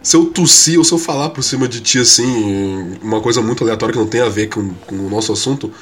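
A man's voice: medium pitch, quick pace, a little hiss or hum. A man with a Brazilian accent who is getting over a cold, co-hosting a podcast over a remote call and talking bluntly with plenty of swearing. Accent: Brazilian Portuguese. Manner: blunt, dismissive, profane. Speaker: podcast co-host.

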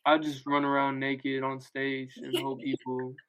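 A man's voice: mid-range voice, completely flat delivery, unhurried, background noise. A man co-hosting a podcast in casual chat, with a joking, slangy way of talking. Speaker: podcast co-host.

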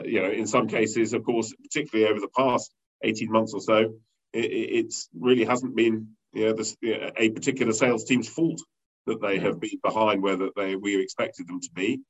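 A man leading a company, talking through an interview in a rambling way with many fillers and restarts, with a loud voice. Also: lowish voice. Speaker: company leader interviewed as guest. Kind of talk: conversational business interview. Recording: dull lo-fi sound.

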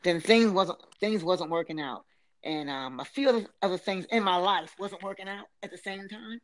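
Middle-aged woman, Philadelphia accent, mid-pitched, casual chatter full of laughs and restarts. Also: normal speed. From Philadelphia, USA. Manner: laughs often, restarts and stumbles. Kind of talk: casual chat.

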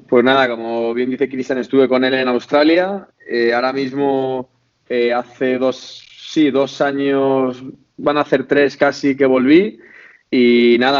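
Hombre joven madrileño, sonido apagado. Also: male voice, medium pitch, muffled recording. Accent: Spain Spanish. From Spain, Madrid. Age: 20s. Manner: hesitant, many fillers and restarts. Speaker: podcast guest. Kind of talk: casual interview chat.

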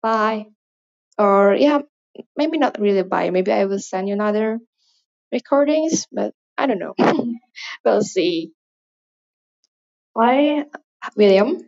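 A woman with an Indonesian accent speaks English as a second language, wandering through her thoughts with plenty of fillers and restarts. Her voice sits at an ordinary middle pitch. The recording sounds dull and lo-fi, like a phone voice memo. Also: expressive, slow, gravelly, long pauses, darkish tone. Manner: rambling, hesitant, many fillers. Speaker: listener leaving a voice message. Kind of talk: casual spoken voice message.

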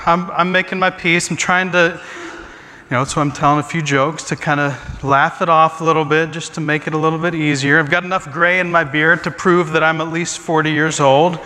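A man's voice: on the high side, steady tone, brisk, bright, forceful tone, slight background noise. A man in his forties, a pastor, giving a joking, self-deprecating sermon with chatty asides. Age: 40s. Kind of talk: humorous sermon talk. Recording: long reverb in a large hall.

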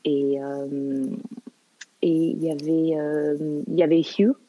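A woman's voice: sits low, little pitch movement, slow pace, warm tone, rough recording. A woman from Canada speaking casually as an interview guest, often hesitant and repeating phrases as she searches for words. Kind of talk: casual, halting interview conversation.

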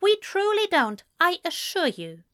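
A woman's voice: very high-pitched, wildly swooping pitch, unhurried, a bit bright, pitched above the performer's own voice, exaggerated voice. A woman voicing an earnest, prim man in an audiobook story, with formal, precise phrasing and a firm, exasperated manner. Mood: strained patience.

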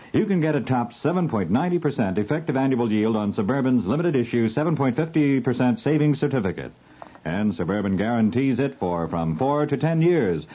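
A man's voice: mid-range voice, husky. A man announcing 1970s radio commercials with a punchy, emphatic sales delivery. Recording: dull 1970s radio broadcast.

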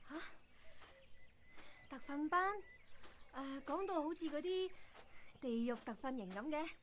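A woman's voice: sits high, lively intonation, a little slow, slight background noise. A woman acting in a Cantonese radio drama as a perky, plucky young intern, chatty, blunt and full of exclamations. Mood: curious. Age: young adult.

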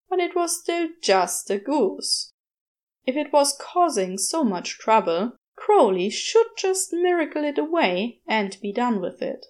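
A woman narrating a scripted story in a measured, expressive storytelling manner. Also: a little high. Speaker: podfic narrator.

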